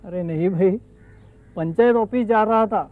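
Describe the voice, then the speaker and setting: A good-natured, unlettered village man in a training film. He speaks simply in a high voice, through a muffled, lo-fi soundtrack. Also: a little slow. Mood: genial, sheepish. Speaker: actor in an educational training film. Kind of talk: acted film dialogue.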